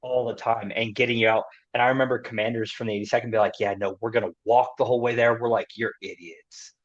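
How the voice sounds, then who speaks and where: A man's voice: medium pitch, fairly even intonation, quick pace. A man in his forties, a veteran guest on a podcast, speaking casually and emphatically with frequent "you know"s. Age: 40s. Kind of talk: casual interview conversation. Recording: dull, muffled lo-fi audio.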